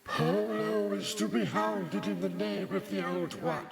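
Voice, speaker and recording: A monstrous demon lord, part beast and part insect, hands down his orders. He drags each word out slowly in a high, swooping voice full of gravelly fry. The tone is cold and commanding. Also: steady tone, music in the background, exaggerated voice. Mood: imperious. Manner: slow, drawn-out, commanding. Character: Beelzebub, imperious demon lord, part elephant part wasp.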